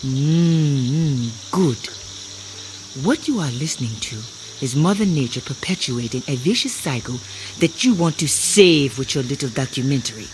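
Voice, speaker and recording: An actress playing a sly waitress who runs a swamp clam bar, taunting and playfully sardonic, in an audio drama. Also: female voice, a bass voice, animated, unhurried. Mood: grimly relishing. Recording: clearly noisy outdoor night ambience with insects. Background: crickets and insects.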